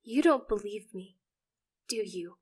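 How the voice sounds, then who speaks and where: A woman's voice: mid-range voice, lively intonation, measured pace, breathy edge. A woman voicing a distraught teenager who whines, shrieks and sobs in anger. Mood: whining. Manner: whiny, shrieking, sobbing outbursts. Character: distraught teenager, furious and tearful.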